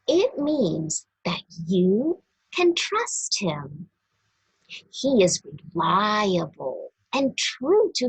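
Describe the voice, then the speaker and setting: Slow, expressive woman reading aloud to children. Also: medium pitch, vocal fry, breathy edge, poor audio. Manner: deliberate, expressive, addressing young listeners directly. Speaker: storybook reader for a church. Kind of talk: read-aloud children's religious story, teaching.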